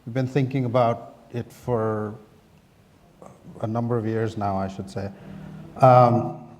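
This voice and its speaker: Measured, hesitant male panelist. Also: mid-range voice, steady tone, darkish tone, a little hiss or hum. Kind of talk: panel discussion.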